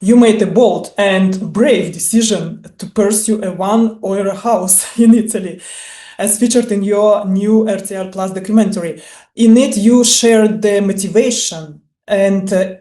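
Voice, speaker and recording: Woman, interview host, from ukraine and russia, polite, hesitant, warm tone, echoey room. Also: mid-range voice. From born in Ukraine, grew up in Russia. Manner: polite, measured, hesitant with fillers. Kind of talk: interview questions.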